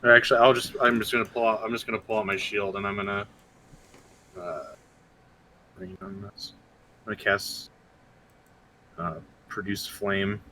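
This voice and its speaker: A man's voice: on the low side, flat delivery, moderate pace, long pauses, sharp tone, poor audio. A man talking casually as a player in a tabletop role-playing game. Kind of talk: casual chat. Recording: clear background noise.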